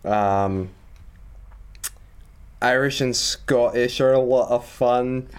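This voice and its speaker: Slow, halting teenage boy. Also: mid-range voice, clean tone. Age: teens. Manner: halting, giggly, many fillers. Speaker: player. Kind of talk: casual tabletop game chat.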